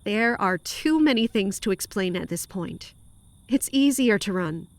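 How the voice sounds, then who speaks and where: A woman playing a weary, guarded castle commander in an audio drama, with a restrained, measured and terse way of talking. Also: mid-range voice, animated. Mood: dry, evasive.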